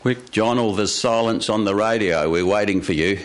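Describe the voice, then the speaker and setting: A man's voice: fairly low pitch, normal speed. A man telling stories and hosting informally in front of a live studio audience. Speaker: storyteller and live show host. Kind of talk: live storytelling and informal announcements. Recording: live radio studio, background noise.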